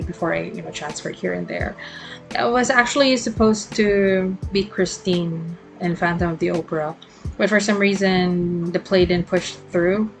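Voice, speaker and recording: A young woman hosts her own podcast in a relaxed solo monologue. Her voice sits on the low side, and she wanders through her thoughts with plenty of ums and restarts. Soft music plays faintly underneath. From Philippines. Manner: rambling and reflective, many fillers and self-corrections. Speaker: podcast host.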